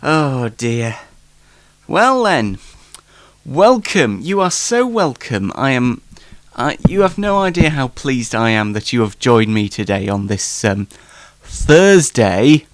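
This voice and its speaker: Congested man hosting, with animated, swooping pitch. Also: takes it slowly, dry recording. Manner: self-deprecating, jokey, rambling. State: congested from hay fever. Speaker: talk show host. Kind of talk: casual solo late night talk show chat.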